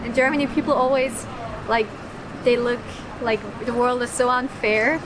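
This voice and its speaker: A young woman with a high voice answers in a lively, emphatic way. Clear background noise runs behind her. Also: normal speed. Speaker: interviewee. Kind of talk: casual interview answers.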